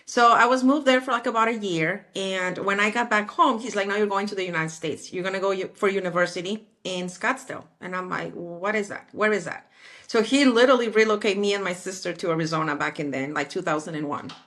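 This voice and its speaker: A woman in her forties from Mexico, speaking as an interview guest, lively and acting out remembered conversations. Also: medium pitch, brisk. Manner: animated, acts out quoted dialogue. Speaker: guest, architectural designer.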